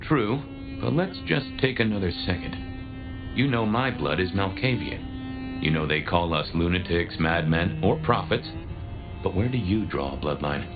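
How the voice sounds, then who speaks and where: A man's voice: low-pitched, expressive, measured pace. An actor voicing a chatty, wry, century-old male vampire in a video game, with a folksy, theatrical delivery. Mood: musing, sly. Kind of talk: video game dialogue acting. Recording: muffled game audio under music.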